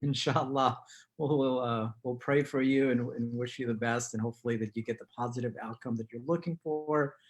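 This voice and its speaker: A man's voice: mid-range voice, steady tone, a little fast. Man hosting formally over a video call. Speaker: host of an online community talk show. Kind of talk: scripted-sounding show introduction and interviewing. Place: living room at home.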